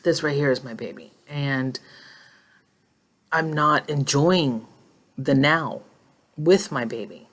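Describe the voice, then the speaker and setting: A woman's voice: very deep voice, slow pace, long pauses, fairly bright tone. A woman in her forties talking casually and emotionally to her phone in a personal vlog, rambling and reflective. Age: 40s. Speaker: vlogger. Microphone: phone held in hand.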